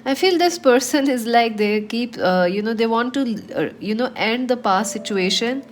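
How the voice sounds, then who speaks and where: A woman's voice: fairly high pitch, animated, normal speed, a little hiss or hum. A woman with a cold and a slightly nasal voice, giving a chatty tarot reading to her viewers with many fillers. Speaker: tarot reader.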